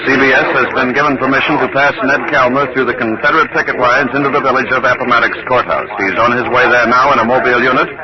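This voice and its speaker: Casting: actor as male 1940s news anchor, rapid formal announcing, crowd noise behind. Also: mid-range voice, steady tone, close to an everyday voice. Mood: businesslike. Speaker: radio anchor. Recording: muffled old radio, crowd behind.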